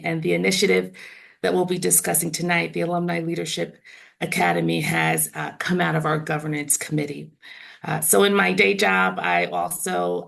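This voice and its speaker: A woman in her forties with a raspy voice, hoarse from laryngitis, answering interview questions in a measured way over a video call. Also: fairly low pitch, a bit bright. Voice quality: raspy, gravelly. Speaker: university trustee guest.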